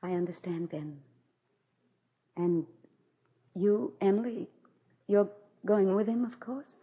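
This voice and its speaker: An actress playing a proud, embattled president's wife in a formal, theatrical 1940s radio drama style. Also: female voice, mid-range voice, takes it slowly, slightly rough. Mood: sad, resigned. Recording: muffled vintage 1940s radio recording.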